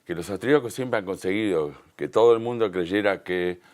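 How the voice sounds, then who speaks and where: A man with a fairly deep voice and a Rioplatense Spanish accent speaks as a guest in a television interview. He explains his points conversationally and with emphasis, sometimes rephrasing mid-sentence. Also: brisk, a little mellow. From Uruguay and Argentina, Río de la Plata.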